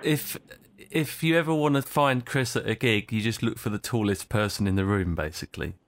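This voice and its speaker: A man hosting a casual radio interview, friendly and quick to laugh along with his guest. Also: on the low side, no echo. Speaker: radio host.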